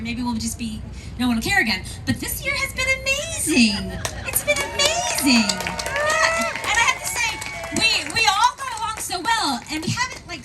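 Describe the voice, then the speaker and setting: A woman speaking as a guest on a convention panel, gushing, excitable and rambling. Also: extremely high pitch, far from the mic, rough recording.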